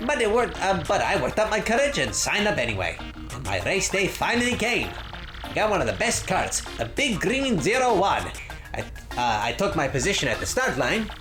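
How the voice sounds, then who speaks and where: A podcaster voicing a boastful, excitable video game hero who recounts tall adventure tales in slangy, dramatic storytelling, in a roomy space. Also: mid-range voice, fairly quick, close to an everyday voice. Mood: excited, bragging. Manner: bragging, slangy storytelling, often addressing listener as bro. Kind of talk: dramatic read-aloud of video game dialogue. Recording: roomy recording with loud music.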